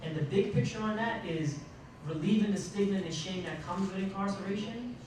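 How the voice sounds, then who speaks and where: A man with a fairly high voice speaks off the cuff on a panel. He is emphatic, breaking his sentences with pauses and rhetorical questions. The sound is very reverberant, with clear noise in the room. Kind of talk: panel discussion remarks, unscripted. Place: large indoor room at a college panel event. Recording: echoey, noisy room, distant microphone.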